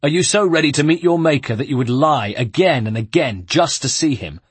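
A man voicing a brutal guard captain who taunts and threatens loudly. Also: medium pitch, lively intonation, normal speed, breathy edge, no room sound, pitched above the performer's own voice. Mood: menacing. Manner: loud and taunting. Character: brutal male guard captain, sneering bully.

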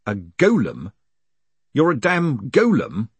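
A man's voice: lowish voice, big pitch swings, slow pace, breathy edge, dry recording, heavily acted. A man voicing an exasperated, put-upon male swindler, indignant and sputtering, in a storybook. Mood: alarmed disbelief.

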